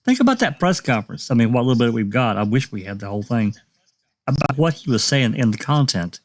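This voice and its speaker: A man's voice: medium pitch, big pitch swings, quick pace, no echo. A man co-hosting a podcast who talks indignantly and keeps agreeing with the others. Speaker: podcast co-host. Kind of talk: casual discussion.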